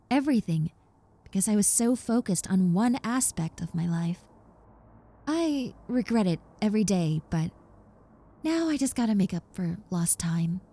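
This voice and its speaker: Woman playing a soft, high-voiced, nervous young roommate. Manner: hesitant, earnest, stammering and reassuring. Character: nervous, apologetic young woman, reformed obsessive yandere roommate. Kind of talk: roleplay audio drama, conversational monologue to the listener.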